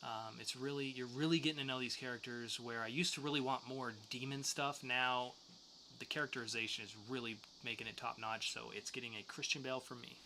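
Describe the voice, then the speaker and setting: A man's voice: medium pitch, fairly even intonation, fairly quick, bright, forceful tone, slight background noise, low-quality recording. A man co-hosting a podcast, giving reviews in a casual, conversational way. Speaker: podcast co-host reviewing comics.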